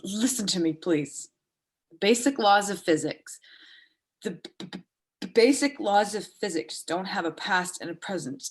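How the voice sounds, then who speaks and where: Woman acting halting, guarded ill woman under forty. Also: medium pitch, fairly bright tone, natural voice. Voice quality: halting, stammering speech. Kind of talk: dramatic reading of stage dialogue.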